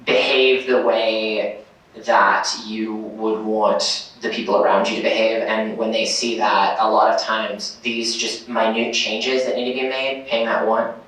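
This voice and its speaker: A man's voice: fairly low pitch, level tone, a little slow, fairly bright tone. A man speaking on a convention panel in a casual, rambling way, with many fillers and restarts. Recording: echoey hall from far off.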